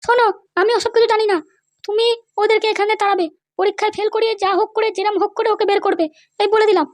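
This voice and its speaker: A vindictive cartoon wife, voiced by a woman, pitched extremely high. She issues pushy orders at a quick clip, insistent and commanding. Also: level tone, dry recording. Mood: vindictive, demanding. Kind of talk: comedy cartoon dialogue.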